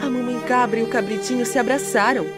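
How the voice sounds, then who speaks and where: A woman narrating a scripted children's storybook in an expressive, dramatic way. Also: on the high side, fast talker. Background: music.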